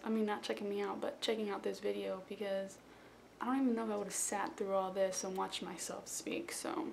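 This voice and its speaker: A woman's voice: on the high side, darkish tone, a little hiss or hum. Chatty young woman rambling at a webcam. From South Florida. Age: young adult. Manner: rambling, self-deprecating, chatty. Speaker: vlogger. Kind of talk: casual rambling intro vlog. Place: small bedroom indoors. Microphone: webcam at close range.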